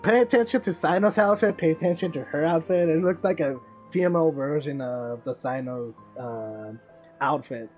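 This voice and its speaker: A woman streaming a game, giving casual, halting commentary with repeated words. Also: low-pitched, a little mellow. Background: faint game music.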